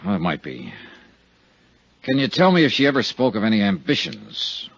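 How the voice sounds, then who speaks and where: A muffled, dry 1950s radio recording of a dogged American insurance investigator. His low voice is husky and swings widely in pitch as he fires blunt, skeptical questions in a clipped detective manner. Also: wildly swooping pitch, moderate pace, no room sound, exaggerated voice. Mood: probing. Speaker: radio drama lead actor.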